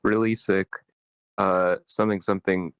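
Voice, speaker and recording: A man's voice: sits low, level tone, normal speed, gravelly, clean tone, no echo, studio quality. A man giving casual, slangy feedback in an online meeting, rambling and stammering as he goes. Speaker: participant giving script feedback.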